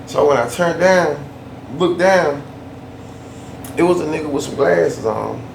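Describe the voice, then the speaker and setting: A man's voice: on the high side, lively intonation, measured pace, creaky. A man ranting casually in a loose, slangy way, often repeating himself for emphasis. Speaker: YouTube storyteller. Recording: echoey room, faint background hum.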